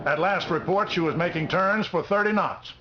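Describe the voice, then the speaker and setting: Gruff navy admiral barking orders, an actor playing him, fuzzy old game audio with lots of hiss. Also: male voice, high voice, moderate pace, lightly acted. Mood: stern. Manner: blunt, commanding. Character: gruff middle-aged male admiral giving orders. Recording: dull, noisy old game video audio.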